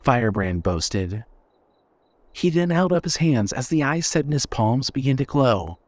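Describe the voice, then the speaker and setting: A man narrating dark fiction from a script in a dramatic storytelling style. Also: mid-range voice, lively intonation, a little slow, no echo.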